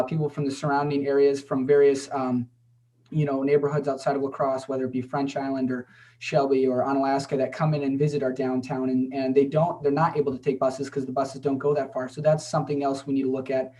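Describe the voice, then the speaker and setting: A man giving a measured answer as a mayoral candidate on a video call, with steady, repeated framing. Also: medium pitch. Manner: measured, repeats "we have to keep in mind". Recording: voice far from the mic.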